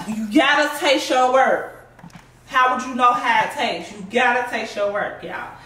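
A woman's voice: fairly high pitch, a little slow, pushed and bright. A woman in her thirties hosting a casual cooking vlog in her home kitchen, chatty and playful, talking to viewers as y'all. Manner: playful, chatty, sing-song exclamations, addresses viewers as y'all. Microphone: far camera on tripod. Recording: roomy kitchen audio from a distant camera.

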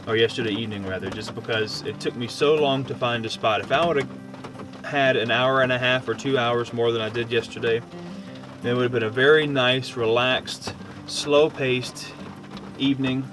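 A man in his thirties with an American accent, talking casually to camera as he gives camping advice outdoors. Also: medium pitch. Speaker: camping vlogger. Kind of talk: casual instructional talk to camera. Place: outdoors at a forest campsite under a shelter. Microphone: on-camera shotgun mic at short distance.

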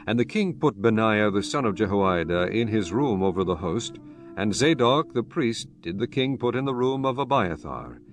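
A man with a fairly low voice narrates scripture as a solemn, formal story. It is a scripted dramatized reading with soft music underneath. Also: big pitch swings, moderate pace. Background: soft background music.

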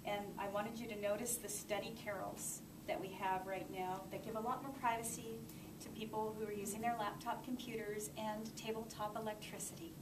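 A woman's voice: medium pitch, steady tone, moderate pace. A middle-aged woman giving an informal public speech as a library manager, showing listeners around. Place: library community room, indoors. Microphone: far camera microphone. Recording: distant camera, echoey room, clear noise.

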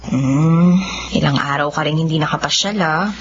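A woman's voice: sits low, steady tone, normal speed, slightly rough. A woman playing a tender, self-doubting young blind woman in love in a Filipino radio romance, with hesitant, emotional delivery. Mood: shy, pleased. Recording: muffled radio drama audio under music.

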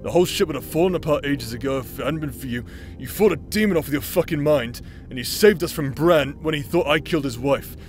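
A man's voice: a little high, fast talker, soft background music, lightly acted. A man playing a cocky, teasing adventurer in an audio roleplay, with a drawling, playful manner. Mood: earnest. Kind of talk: dramatic audio roleplay acting.

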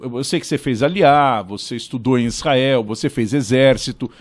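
A middle-aged Brazilian man from São Paulo hosting a podcast, with a voice of ordinary pitch. He opens slowly and warmly, then asks his guest questions. He speaks into a studio mic in a small room. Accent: Brazilian Portuguese, São Paulo. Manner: slow, friendly scripted welcome. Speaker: podcast host. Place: small studio with table and monitor. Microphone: boom-arm studio mic.

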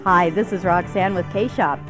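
A woman's voice: on the low side, unhurried. An American woman reading a scripted promo as a radio station announcer. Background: music.

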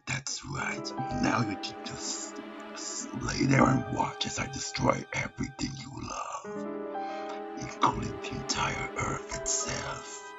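A man voicing a menacing, gloating alien overlord in a breathy, whispery growl for an improvised parody dub. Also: rumbling low, huge pitch swings, dull audio, over loud music, voice pushed down, heavily acted. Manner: slow, gloating villain delivery. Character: menacing male alien overlord, mocking and domineering.

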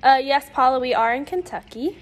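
A woman's voice: high voice, fairly quick. A young woman, casually reading viewer comments aloud during a live cooking stream in a home kitchen. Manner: casual, reads comments aloud, says y'all.

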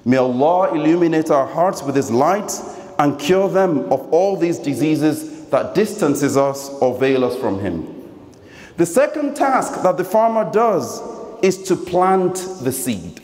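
A man's voice: on the high side, sing-song, a little slow, a little mellow. A young man giving an earnest Friday sermon from notes at a stand microphone in a large mosque hall. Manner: earnest, reading from paper, chants Quranic verse. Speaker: preacher. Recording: echoey hall reverb.

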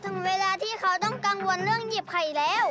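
Little lizard-boy superhero for cartoon, woman performer: very high, earnest, excitable, with loud music behind. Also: fast talker. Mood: eager. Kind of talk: children's cartoon dub.

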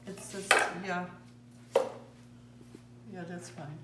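An older woman hosting a cooking show, explaining each step in a relaxed, instructive way as she cooks in a large home kitchen. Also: on the low side, extremely slow, very noisy. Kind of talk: casual cooking demonstration. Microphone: far camera mic. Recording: echoey kitchen from far off, clattering pans.

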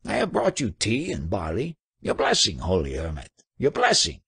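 A man's voice: mid-range voice, huge pitch swings, normal speed, vocal fry, slightly rough, dry recording, voice pushed up, heavily acted. A man voicing a huge, boisterous and superstitious trader in a storybook, with a bellowing, effusive manner. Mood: booming, eager.

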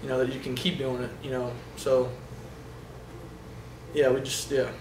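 A young man, a college quarterback at a postgame press conference, answering questions in a halting way with many fillers and restarts. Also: mid-range voice, level tone. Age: young adult. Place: indoor press room in front of a sponsor backdrop. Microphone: table microphones from a short distance. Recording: roomy, distant sound with faint noise.

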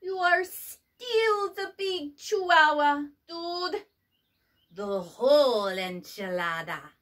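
A squeaky, giddy kitten boy from a storybook read-aloud, as a woman puts him on. His voice sits high and swoops up and down with every excited line. He tosses in playful mock Spanish flair. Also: takes it slowly, full character voice.

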